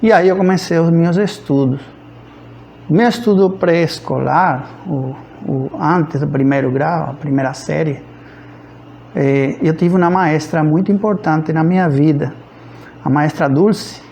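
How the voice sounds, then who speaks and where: Male professor, about 50, Cuban accent, Spanish native. Slightly high, creaky voice, informal storytelling with searching pauses. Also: a little mellow, slight background noise. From rural central Cuba. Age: around 50. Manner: hesitant, searching for words with frequent pauses. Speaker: physics professor. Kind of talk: informal autobiographical account.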